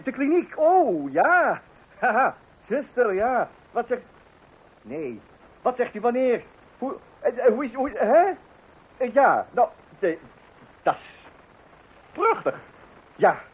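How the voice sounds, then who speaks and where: An actor playing a flustered, excited father in a radio play, stammering and repeating himself as he juggles household and telephone. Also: high voice, slightly rough, heavily acted. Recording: noisy old radio play recording.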